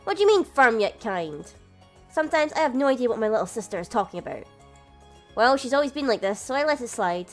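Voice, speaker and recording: Picture a sheepish, exasperated young man telling his own story as a game narrator, read by a woman. The voice stays fairly high with only a slight drop for the role. The delivery is measured and steady. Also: soft background music. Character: young adult man, a flustered older brother who narrates. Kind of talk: reading a visual novel aloud.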